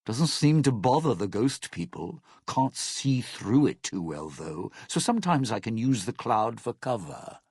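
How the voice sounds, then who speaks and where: An actor playing a cynical, scheming old lounge performer in a video game, with a gravelly, raspy voice and a sardonic, showy drawl. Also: male voice, mid-range voice, very animated, a little slow, few pauses, a little mellow, dry recording, clean studio sound, full character voice. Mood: wary, matter-of-fact. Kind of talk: video game character dialogue.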